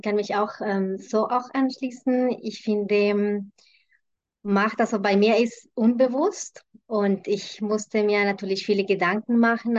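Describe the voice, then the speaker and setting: A woman's voice: mid-range voice, dry recording. A woman whose first language is Spanish sharing her thoughts hesitantly in a group, with many fillers and restarts. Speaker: participant. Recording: dry muffled lo-fi audio.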